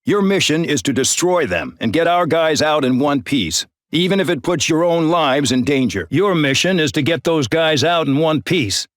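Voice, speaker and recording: An actor playing a harsh middle-aged colonel who commands a penal squadron, barking orders and threats with open contempt in a video game. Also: male voice, a little high, expressive, normal speed, hardly any pauses, no room sound, clean studio sound, close to an everyday voice. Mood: contemptuous. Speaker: commanding officer giving mission briefings.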